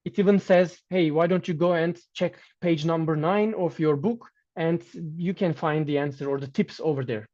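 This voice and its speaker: Guest lecturer, male, Turkish native speaker, fairly high voice, measured delivery with restarts, dull lo-fi sound. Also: darkish tone. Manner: measured, with frequent restarts and fillers. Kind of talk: online academic lecture with slides.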